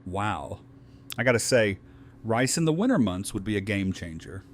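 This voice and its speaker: An actor playing a friendly survivor on a community council in an audio drama, speaking casually. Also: male voice, lowish voice, sing-song, normal speed, a little hiss or hum. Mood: impressed, teasing.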